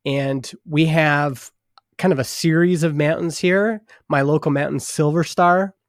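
A man's voice: fairly high pitch, fairly even intonation, measured pace, dry recording, studio quality. A man from northern Alberta with a Canadian accent, chatting casually as a guest on a podcast. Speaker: podcast guest, startup founder. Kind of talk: casual interview chat.

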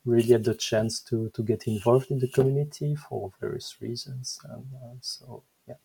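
A Belgian man in his fifties with a French accent, a podcast guest answering questions in a thoughtful, halting way as he searches for words. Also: mid-range voice, fairly even intonation, vocal fry, warm tone, breathy edge, poor audio. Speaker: guest, software engineer. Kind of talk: interview answers.

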